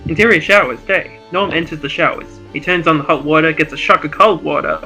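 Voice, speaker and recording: A man's voice: fairly high pitch, a little fast, sharp tone, faint music behind, rough recording. A jovial, chuckling man, switching between casual chat and reading out script narration. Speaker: co-host and script reader.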